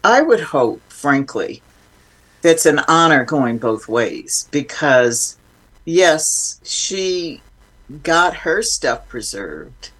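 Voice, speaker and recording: A woman's voice: sits low, big pitch swings, extremely slow, a bit bright. A woman speaking as an interview guest, explaining informally and with emphasis in a reflective, conversational way.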